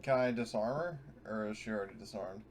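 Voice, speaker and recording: A man's voice: lowish voice, fast, over a noisy crowd. A man playing a tabletop game, talking casually and hesitantly as he works out his move. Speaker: player. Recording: faint background noise.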